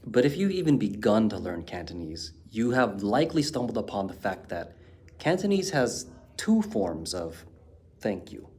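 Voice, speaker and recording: A man explaining the ideas behind a language point in a measured, scripted style, leaning on key words. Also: on the low side, big pitch swings, darkish tone, husky. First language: English.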